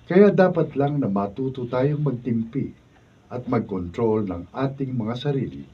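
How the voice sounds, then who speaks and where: A man's voice: mid-range voice, expressive, measured pace, breathy edge. A man giving scripted closing narration for a radio drama. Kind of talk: scripted moral narration and cast credits. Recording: distant dull studio video sound, faint noise.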